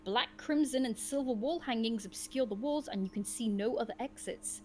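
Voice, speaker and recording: A woman's voice: fairly high pitch, moderate pace, darkish tone, a little hiss or hum. A woman reading a gamebook aloud to friends as the host, with dramatic storytelling and casual asides. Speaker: game reader and host.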